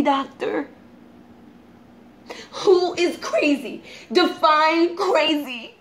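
A woman performing a dramatic monologue as a grieving, unhinged mother tormented by guilt, halting and anguished. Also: high voice. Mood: bitter, unhinged.

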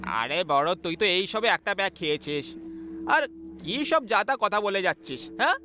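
A man's voice: high voice, big pitch swings, normal speed, sharp tone, slight background noise, heavily acted. A man playing a ruthless, greedy elder brother who talks in a cold, mocking, domineering way in a horror story. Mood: dismissive. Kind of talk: dramatized horror story acting. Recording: music behind the voice.

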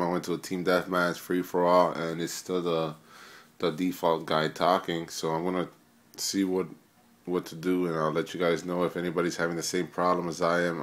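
A man with a deep, low voice talks casually through a video game how-to. His delivery is informal and a little hesitant, as if working it out aloud as he goes. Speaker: amateur gamer making a tutorial. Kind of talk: casual spoken game tutorial. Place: indoors in a room, filming a TV screen.

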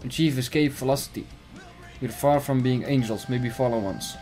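Relaxed young gamer on a headset, offhand remarks, faint music in the background. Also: male voice, mid-range voice, moderate pace. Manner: laid-back, muttering remarks. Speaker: gamer streamer. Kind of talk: casual let's-play commentary.

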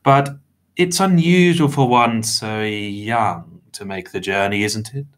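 A man voicing a menacing, falsely jovial little villain who speaks with drawn-out mocking politeness in an audiobook story. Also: on the low side, fairly bright tone. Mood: smugly jovial.

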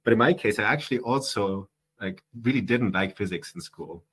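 A chatty young man with a German accent talks over a headset on a video call, his voice a little low and slightly husky. He speaks in casual interview answers and keeps restarting his sentences with fillers. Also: expressive, normal speed, fairly bright tone. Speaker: guest.

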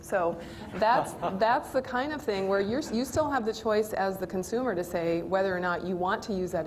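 A woman's voice: fairly low pitch, darkish tone, a little hiss or hum. A woman in her forties with an American accent, explaining informally with everyday examples in a conversational panel discussion. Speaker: panelist, company executive. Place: indoor panel stage in a function room. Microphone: lapel.

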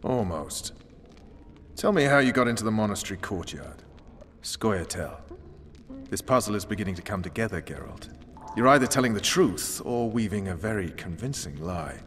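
An actor playing a stern, world-weary special forces commander in a video game, clipped, commanding and menacing. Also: male voice, on the low side, expressive, normal speed, fairly bright tone, long reverb tail, slight background noise. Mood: probing. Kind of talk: dramatic video game acting.

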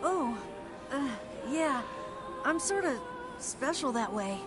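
An actor voicing a gentle, shy young boy magician in a video game. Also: very high voice, very animated, slow pace, exaggerated voice. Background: loud game music.

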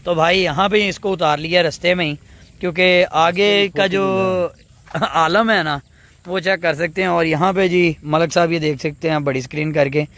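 A man's voice: on the high side, steady tone, moderate pace. A man in his thirties with a Punjabi accent, chatting casually to his phone camera while riding outdoors in the rain. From Pakistan. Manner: chatty, mixes Urdu with Punjabi and English phrases. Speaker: vlogger. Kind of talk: casual travel vlog. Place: outdoors on a rural road in rain, riding a vehicle. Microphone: phone selfie camera at arm's length. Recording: loud vehicle and outdoor noise.

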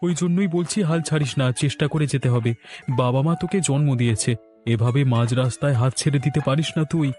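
A man's voice: fairly high pitch, fast, warm tone, faint music behind, lightly acted. A man playing a supportive young friend and colleague in a cartoon, advising in an insistent, persuasive way. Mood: earnest, persuasive. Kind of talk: Bengali family cartoon acting.

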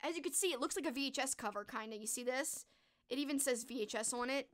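A young woman with a high, hoarse voice that is cracking and fading, talking eagerly and casually with plenty of fillers. She is close to a studio microphone in a small bedroom. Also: fast. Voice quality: strained, croaky. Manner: chatty, enthusiastic, frequent "like" fillers. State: losing her voice, hoarse. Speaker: streamer reviewing books and movies. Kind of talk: casual chat. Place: small bedroom with posters, indoors. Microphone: large studio microphone close in front.

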